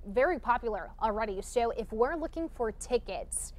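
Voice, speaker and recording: A woman's voice: a little high, animated, moderate pace. A woman with an American accent hosting a live TV morning show, interviewing guests in a conversational broadcast style. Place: TV studio.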